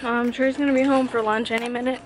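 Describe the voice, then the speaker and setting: A woman's voice: fairly high pitch, level tone, fast talker, a little hiss or hum. A tired young woman chatting casually to a handheld camera about her day, from her car and her home. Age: young adult. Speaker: vlogger. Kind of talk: casual vlog chat. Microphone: phone or camera held close.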